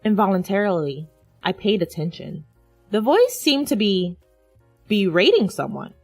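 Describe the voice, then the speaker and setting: A woman narrates a scripted dramatic story in the first person. Her voice sits at a medium pitch. The recording is very dry, with quiet music behind her. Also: no room sound.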